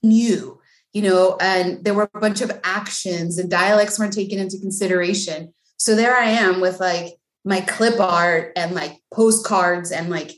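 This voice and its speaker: A woman's voice: lowish voice, steady tone, normal speed. A woman from Mexico, a guest on a podcast, talking casually with lots of "like" and "um" fillers. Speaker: guest, bilingual speech language pathologist. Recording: echoey room.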